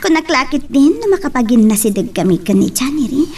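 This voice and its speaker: A woman's voice: high-pitched, very animated, a little fast, darkish tone, recorded from a distance. A woman acting a young woman in love, hurt and proud, in an Ilocano romantic radio drama, slightly off the mic. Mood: hurt, probing.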